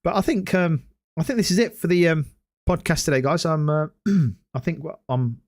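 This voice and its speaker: Hoarse man hosting a rambling podcast. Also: a little high, lively intonation, normal speed. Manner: rambling, hesitant, many restarts and fillers. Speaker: podcast host. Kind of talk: casual solo livestream podcast.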